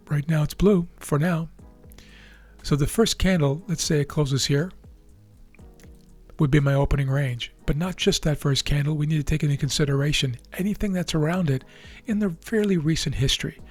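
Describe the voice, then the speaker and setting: A man with a raspy, tired voice, hosting a trading video and explaining live charts in a measured way with frequent fillers and restarts. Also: fairly high pitch, faint music behind. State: losing his voice.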